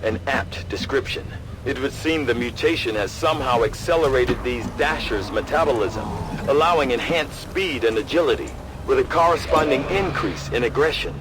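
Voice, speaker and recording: An actor playing a measured, erudite male scientist who briefs troops in a video game in formal, precise language. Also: medium pitch, animated, close to an everyday voice. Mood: concerned, analytical. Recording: very noisy battle sound over voice. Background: loud ambience, gunfire.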